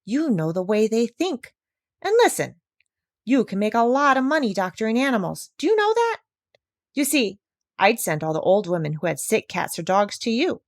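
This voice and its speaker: Lady doing a pushy folksy meat seller guy for a storybook, rough grammar, voice jumping up and down, dry sound. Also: on the high side, sing-song, moderate pace, no echo, voice pushed up. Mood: eager, persuasive. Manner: colloquial, uneducated, salesman-like persuasion. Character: folksy, scheming tradesman, the cat's meat man.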